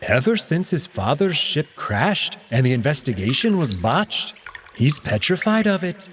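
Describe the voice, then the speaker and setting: Man voicing a prim, aggrieved cat courtier, higher-pitched, noisy. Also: big pitch swings, unhurried, fairly bright tone. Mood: guarded, aloof. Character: haughty, wounded male anthropomorphic cat courtier, guarded and peevish. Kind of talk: visual novel character acting.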